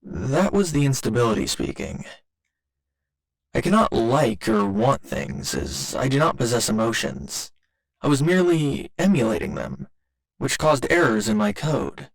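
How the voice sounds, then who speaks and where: A man voicing a gentle robot boyfriend in an ASMR roleplay, with formal, clinical phrasing delivered tenderly and a little hesitantly. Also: medium pitch, wildly swooping pitch, a little slow, gravelly, full character voice, low-quality recording. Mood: clinical, measured. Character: gentle male robot boyfriend learning to feel love.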